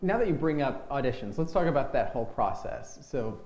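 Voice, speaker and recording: A man hosting a chat show, speaking in a polite, measured way. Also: medium pitch, very animated, a little mellow. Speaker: interview host. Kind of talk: hosted studio chat. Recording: echoey room.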